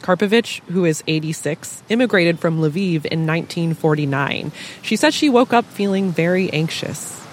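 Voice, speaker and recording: A woman reporter narrating a news feature in a measured, even way. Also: on the low side, slight background noise.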